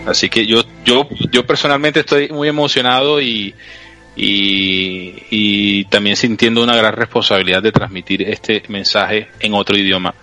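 A man hosting a live radio talk show, speaking earnestly with pauses and small restarts. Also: fairly low pitch, fairly even intonation, sharp tone, faint music behind. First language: Spanish.

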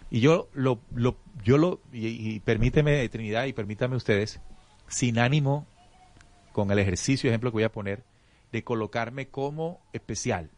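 Soft-spoken man explaining spiritual ideas. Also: medium pitch, moderate pace, no room sound. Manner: reflective, explanatory, with many restarts; addresses the audience as "usted". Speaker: spiritual teacher. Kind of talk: conversational teaching on a show.

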